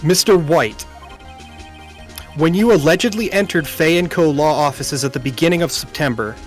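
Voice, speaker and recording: A man's voice: a little high, normal speed, soft background music, lightly acted. Man playing an earnest, emphatic young defence lawyer. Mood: assertive. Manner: emphatic, pleading. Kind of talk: amateur video game dub.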